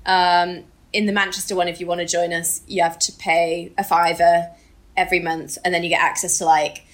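A woman's voice: fairly low pitch, little pitch movement, moderate pace. A British woman co-hosting a podcast in a casual, chatty and informal way, with a giggly streak. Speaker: podcast co-host.

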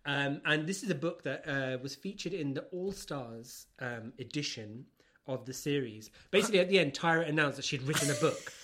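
A man's voice: on the high side, lively intonation, moderate pace, a bit bright. A man with a British accent chatting casually as a podcast co-host, talkative and emphatic, with a teasing streak. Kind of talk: casual book chat.

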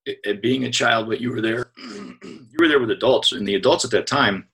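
A man's voice: mid-range voice, a little fast, vocal fry, fairly bright tone. A man hosting an interview, asking friendly questions that wander and restart. He fills gaps with ums and uhs and chats along casually. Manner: friendly, rambling questions with many fillers. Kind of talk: conversational interview.

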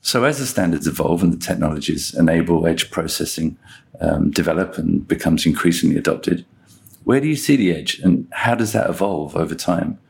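Low gravelly host guy asking long questions on a podcast. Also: animated, normal speed, darkish tone. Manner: asks long framing questions. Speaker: guest host, research director. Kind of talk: podcast interviewing.

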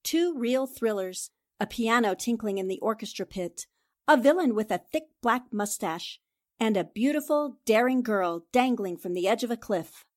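A woman acts out a sharp female newspaper sleuth from a forties-style radio play. Her voice sits fairly high, and she lays out accusations with deliberate, confident assertion. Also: lively intonation, natural voice. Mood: dramatic, insistent. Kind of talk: radio drama acting in 1940s style.

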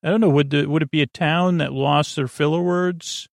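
A man with a creaky voice hosting a bedtime sleep podcast, speaking in a soothing, meandering way with hesitant tangents and filler words. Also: on the high side, steady tone, normal speed, dry recording, studio quality. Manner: soothing, lulling delivery. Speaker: podcast host.

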